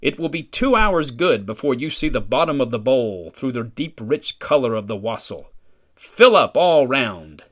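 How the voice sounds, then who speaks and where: A man's voice: mid-range voice, big pitch swings, moderate pace, a bit bright. A man voicing a genial middle-aged country host who talks in a hearty, jovial way.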